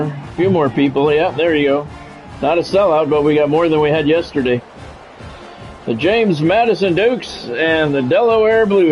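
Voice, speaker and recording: An American man doing live play-by-play of a video game football match in a measured announcer style, mixed with casual chat to stream viewers. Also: fairly high pitch. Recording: dull lo-fi sound with music behind.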